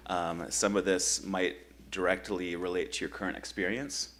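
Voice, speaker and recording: A man from Michigan facilitating a grief workshop at a church, talking in a relaxed, conversational way with frequent ums. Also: low voice, normal speed. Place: church meeting room.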